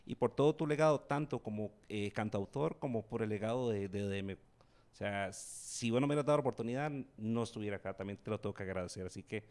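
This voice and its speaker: A man's voice: lowish voice, fast talker, darkish tone. A man with a Costa Rican Spanish accent co-hosting a casual talk show, dropping frequent fillers. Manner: conversational, fills with "o sea". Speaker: co-host. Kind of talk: casual podcast chat.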